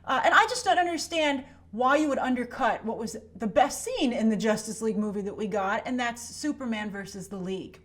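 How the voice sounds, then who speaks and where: Female commentary host, fairly high voice, opinionated and emphatic, talks straight to the viewer in a conversational style. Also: animated, brisk, hardly any pauses, a bit bright. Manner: emphatic, conversational, with rhetorical check-ins. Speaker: YouTube host and film commentator.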